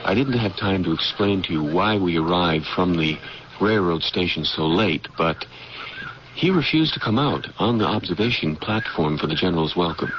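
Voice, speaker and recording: An actor playing an earnest, scheming general's aide in an old-time radio drama, deferential and persuasive in manner. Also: male voice, deep voice, expressive, moderate pace. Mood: worried, confiding. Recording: muffled, noisy old radio broadcast recording.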